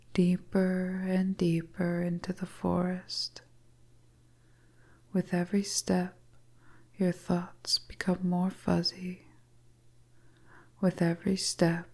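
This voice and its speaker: Female storyteller, breathy and whispered, low-leaning and very even pitch, hypnotic suspense narration. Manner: slow, hushed, second-person suspense narration.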